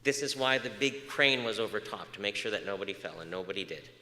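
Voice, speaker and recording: A man's voice: lowish voice, fast talker. Man lecturing in an echoey hall. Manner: lively, asks audience questions, many uh fillers. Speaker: research speaker. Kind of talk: public lecture to an audience. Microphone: moves off mic at times.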